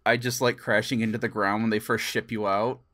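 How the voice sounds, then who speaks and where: A man's voice: lowish voice, little pitch movement, fairly quick, fairly bright tone. A man co-hosting a podcast, telling stories in casual gaming chat, chuckling and acting out what people said. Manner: chuckles through stories, acts out quoted dialogue. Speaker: podcast co-host.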